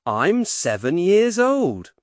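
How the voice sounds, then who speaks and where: A woman voicing a polite, cheerful young schoolboy in a scripted textbook dialogue, speaking slowly and carefully. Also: fairly low pitch, sing-song, darkish tone, dry recording, clean studio sound. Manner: slow, carefully spoken.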